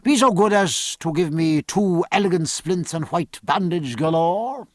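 A man voicing a learned ship's surgeon, drily earnest, with a measured, formal and pedantic manner. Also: on the high side, very animated, creaky, husky, no room sound, clean studio sound, heavily acted. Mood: businesslike.

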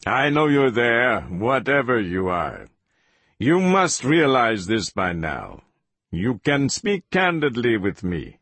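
A man's voice: medium pitch, big pitch swings, slow, a bit bright, no echo, heavily acted. A man voicing a cold, sadistic interrogator in an audiobook, smugly patient, taunting and commanding. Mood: pressing, commanding. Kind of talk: audiobook character voice.

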